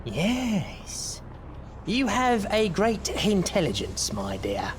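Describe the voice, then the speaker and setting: A man's voice: sits high, wildly swooping pitch, slow pace, background noise, full character voice. A man acting a wily old leader of a thieves' gang in an audio drama, sly and drawling. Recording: music behind.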